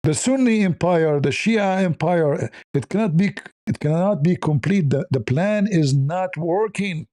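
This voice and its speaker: A man with non-native English delivers informal religious and political commentary. His voice is mellow and a little high for a man. He argues combatively, repeating rhetorical questions as he goes. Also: unhurried, few pauses, no room sound. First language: not English.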